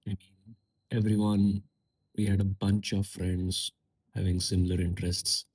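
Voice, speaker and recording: A man hosting a solo podcast, speaking in a musing, unhurried way with pauses and fillers; English is not his first language. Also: low voice, level tone, a little mellow, husky. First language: a language other than English and Hindi. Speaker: podcast host. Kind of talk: reflective solo podcast monologue.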